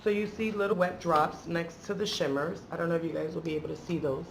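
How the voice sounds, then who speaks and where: Woman vlog host, casual and rambling, playful slang, creaky delivery, faint background noise. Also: fairly low pitch, steady tone, fast, poor audio. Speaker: beauty vlogger and channel host. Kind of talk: casual chatty unboxing vlog.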